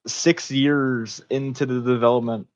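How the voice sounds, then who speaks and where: A man's voice: mid-range voice, steady tone, unhurried, a little hiss or hum. A man co-hosting a casual podcast, speaking bluntly and informally on muffled, lo-fi audio. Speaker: podcast co-host. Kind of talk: casual chat. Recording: dull, lo-fi voice audio.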